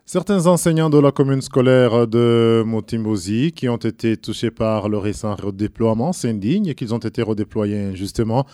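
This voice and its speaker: A man anchors the midday radio news bulletin, passing from one story to the next in a measured, formal read. His voice is dark and mellow, and the studio sound is dry and close, with no room around it. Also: mid-range voice, no room sound, faint music behind.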